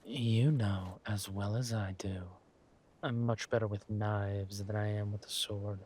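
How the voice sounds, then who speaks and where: A man voicing a suave, menacing villain king who is doting with his lover and talks in a smooth, teasing, courteous drawl for a roleplay audio drama. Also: fairly low pitch, fairly even intonation, a little slow, a little mellow. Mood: tender, protective.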